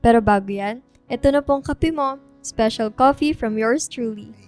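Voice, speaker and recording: Woman playing a sweet, teasing daughter, highish voice. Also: normal speed, darkish tone, faint music behind, close to an everyday voice. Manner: teasing, affectionate, encouraging. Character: sweet, playful, devoted young daughter, a student. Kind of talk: radio drama dialogue.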